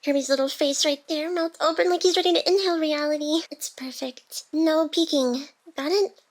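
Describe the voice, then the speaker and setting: A woman playing a playful, wounded young female AI hacker, secretly devoted, in intimate ASMR roleplay with breathy, halting, teasing speech that often drops to a whisper. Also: sits high, fairly even intonation, natural voice. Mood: teasing, fond.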